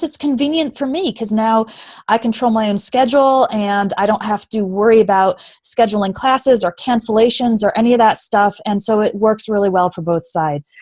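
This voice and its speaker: A woman's voice: a little high, darkish tone, no echo. Fluent woman guest, dry close sound. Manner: clear, fluent storytelling. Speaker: online English teacher, guest. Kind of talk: interview answers.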